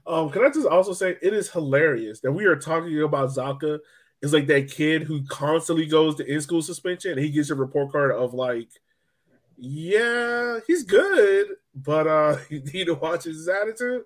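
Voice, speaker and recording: A man hosting a casual sports podcast, talking in a jokey, animated way. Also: a little high, normal speed. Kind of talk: casual fan podcast chat.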